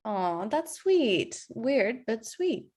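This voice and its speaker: A woman playing an awkward, deadpan young standup comedian in a casual comedy table read over video call. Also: a little high, drawn-out words, full character voice. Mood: wry, surprised.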